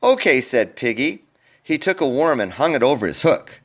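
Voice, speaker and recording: A man reading a picture book aloud in an expressive, playful way, talking directly to young listeners. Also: mid-range voice, moderate pace. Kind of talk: animated children's storybook read-aloud.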